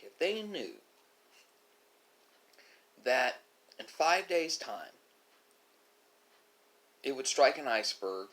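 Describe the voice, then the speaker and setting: Man musing with very lively, swooping intonation. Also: on the high side, rough recording. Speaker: video creator. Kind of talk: reflective monologue.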